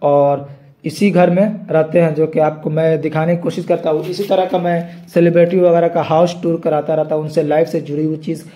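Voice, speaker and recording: A man's voice: on the high side, flat delivery, brisk, warm tone. A man narrating a building tour for his viewers in a rambling way, repeating filler phrases and correcting himself. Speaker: vlogger. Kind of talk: tour-guide narration over footage.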